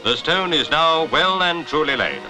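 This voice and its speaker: A man's voice: a little high, lively intonation, moderate pace, poor audio. A man with a Received Pronunciation accent reading scripted 1950s newsreel commentary in a jaunty, formal, clipped manner. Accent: British Received Pronunciation. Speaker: newsreel narrator. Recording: vintage newsreel soundtrack with music behind.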